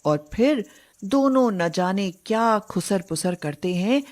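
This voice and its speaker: Slow-paced woman narrating a story. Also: mid-range voice, expressive, a little mellow. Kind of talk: scripted narration of a moral story.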